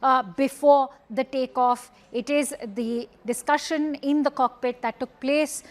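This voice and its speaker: A woman news anchor with an Indian accent and a high voice. She presents live news earnestly, choosing her phrases with deliberation. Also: fairly even intonation, measured pace.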